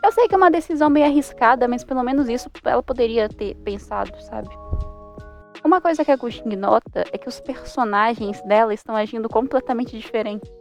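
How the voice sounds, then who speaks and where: High-voiced young Brazilian woman. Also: fairly quick. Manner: informal, expressive, exclaiming in mock disbelief. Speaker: manhwa reviewer. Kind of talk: casual review commentary. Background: faint music.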